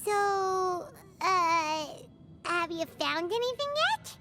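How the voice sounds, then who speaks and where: A woman voicing a tiny, excitable, childlike fairy companion in a video game, chatty and curious, full of exclamations. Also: very high-pitched, takes it slowly. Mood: eager. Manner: speaks of self in third person, exclaims. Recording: music behind the voice.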